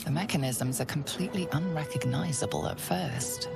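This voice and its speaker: A woman narrating a fantasy video game in the second person as a hushed, ominous storyteller. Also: sits low, moderate pace, vocal fry. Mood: measured, suspenseful. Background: loud music.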